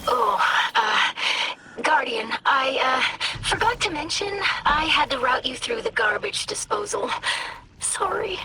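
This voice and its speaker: An actress playing an apologetic, helpful mission guide in a sci-fi game, hesitant, chatty and informal. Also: female voice, high voice, sing-song, takes it slowly, background noise, heavily acted. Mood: sheepish, helpful. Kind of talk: sci-fi game radio dialogue acting.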